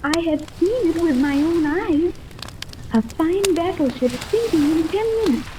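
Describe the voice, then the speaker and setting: A woman's voice: very high-pitched, animated, measured pace. An actress in a 1930s radio serial playing an earnest, anxious young girl who speaks with care. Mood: grave, fearful. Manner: earnest, carefully spoken. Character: earnest, anxious young island girl, long-lost daughter. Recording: muted, faintly hissy 1930s radio recording.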